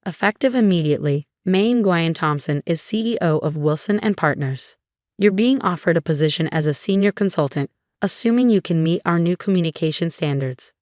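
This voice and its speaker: Brisk business ally, read by a woman. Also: fairly low pitch, lively intonation, darkish tone, no room sound, studio quality, natural voice. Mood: businesslike.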